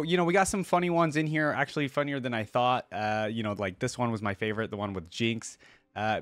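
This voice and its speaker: A man's voice: medium pitch, dry recording. A man hosting a reaction video, talking casually and informally in chatty commentary with exclamations.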